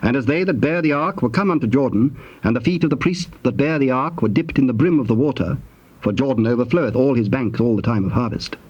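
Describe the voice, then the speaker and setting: A man with a British accent narrating scripture in a solemn, formal, deliberate way. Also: medium pitch, darkish tone, slightly rough. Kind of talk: scripted dramatic Bible narration. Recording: dull, noisy old cassette tape.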